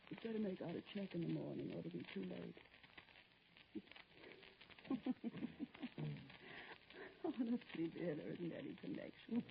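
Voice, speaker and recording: An actress playing a sensible, soothing, slightly nagging wife in an old radio drama, coaxing and matter-of-fact. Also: female voice, lowish voice, animated, a little slow, gravelly, long pauses, full character voice. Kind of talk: mid-century American radio drama acting. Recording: muffled, very noisy old radio recording.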